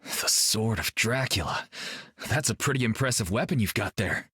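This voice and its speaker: An actor playing a good-natured young sword-wielding hero in a video game, with a husky voice. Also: male voice, lowish voice, lively intonation, normal speed, pushed and bright, no echo, studio quality, full character voice. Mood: easygoing.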